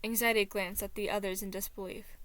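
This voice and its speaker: A woman reading a scripted story as narrator, with expressive delivery. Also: medium pitch, fairly quick. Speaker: fan fiction narrator.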